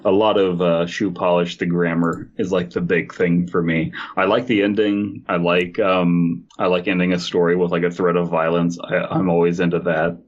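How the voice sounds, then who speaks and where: Man co-host, very low voice, halting and deadpan. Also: dull audio. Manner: halting, many fillers, deadpan jokes. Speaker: podcast co-host. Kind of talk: casual chat.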